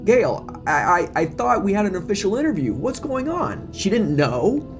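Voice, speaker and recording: A man's voice: high-pitched, big pitch swings, moderate pace, pitched above the performer's own voice. A man playing a needy, aggrieved man hungry for attention in an audio drama. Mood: upset. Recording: music bed behind the voice.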